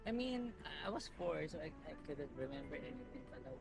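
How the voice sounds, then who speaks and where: A man's voice: on the high side, big pitch swings, fairly quick, creaky, low-quality recording. Playful young man with Filipino accent. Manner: playful, joking banter mixing Tagalog and English. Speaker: friend. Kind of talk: casual chat. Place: cafe. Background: background music.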